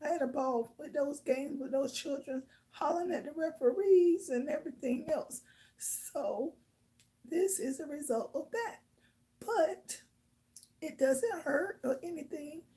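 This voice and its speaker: A woman hosting her own live talk show, chatting casually with viewers in a hoarse, raspy voice and rambling with lots of fillers. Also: high voice, a little slow, mellow. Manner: rambling, filler-heavy storytelling. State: hoarse from shouting. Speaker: livestream host.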